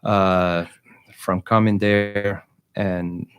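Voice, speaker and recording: A man's voice: low voice, flat delivery, extremely slow, clean tone. A middle-aged man from Venezuela with a Venezuelan Spanish accent, a native Spanish speaker, talking hesitantly with many fillers as an interview guest. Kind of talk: interview conversation.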